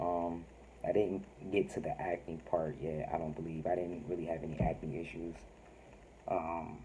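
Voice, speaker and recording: Low-voiced man giving a casual update. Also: warm tone, a little hiss or hum, rough recording. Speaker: vlogger. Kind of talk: casual personal update to camera.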